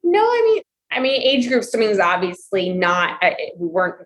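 A woman's voice: medium pitch, very animated, normal speed. A woman, a competitive swimmer, answering interview questions in a reflective, earnest way with frequent "you know" and "I mean".

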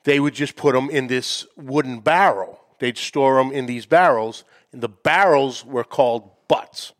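A man's voice: mid-range voice, a little slow. A man from New England hosting a podcast, chatting informally and explaining things in plain conversational terms. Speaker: podcast host. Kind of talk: casual chat.

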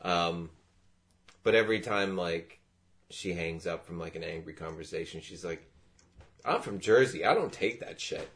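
Deep-voiced joking young man. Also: moderate pace, fairly bright tone. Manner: joking, rambling, swearing. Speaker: podcast co-host. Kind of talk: casual banter.

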